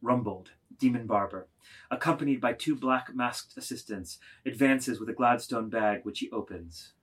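A man's voice: mid-range voice, moderate pace, slightly rough. A man giving scripted dramatic narration, reading stage directions aloud in a table reading.

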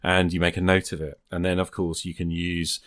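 A man's voice: low voice, flat delivery, fairly quick, fairly bright tone, clear, resonant tone, no echo, clean studio sound. A man talking casually and reflectively, explaining his thoughts as he works them out in conversation. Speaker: podcast host. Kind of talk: casual discussion of solo role-playing games.

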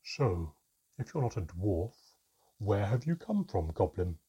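A man voicing a tiny, proud female mermaid with a deep, strong voice and a sarcastic, sneering, bitter manner. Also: very animated, measured pace, dark tone, husky, heavily acted. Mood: haughty, dismissive.

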